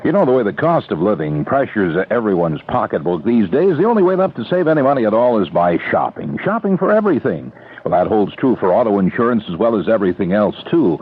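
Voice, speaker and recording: Guy rattling off old radio ad, low husky voice, tinny. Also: big pitch swings, quick pace, a little hiss or hum. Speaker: advertising voice. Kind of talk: conversational radio commercial.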